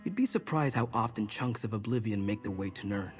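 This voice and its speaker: An actor playing an earnest, steady male mage in a fantasy game, explaining things calmly to companions. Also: lowish voice, a little fast, soft background music, close to an everyday voice. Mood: earnest, reassuring.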